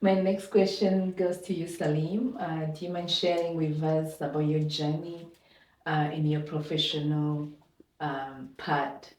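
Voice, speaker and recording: A woman hosting and moderating a panel discussion, measured, with "uh" pauses. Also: low-pitched, steady tone, darkish tone. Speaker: host and moderator. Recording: roomy, distant mic.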